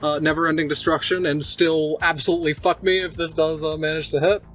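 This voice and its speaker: A tabletop player with a medium-pitched voice talks through a character's moves and the game's rules. Quiet music sits underneath. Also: flat delivery, a little fast. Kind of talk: tabletop game talk, narrating character actions.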